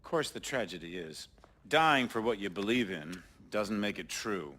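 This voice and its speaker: Swooping, menacing military boss villain. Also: male voice, lowish voice, animated, fairly bright tone, a little hiss or hum. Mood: quiet menace. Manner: measured, lecturing, condescending. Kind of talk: scripted cinematic game acting. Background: dramatic score.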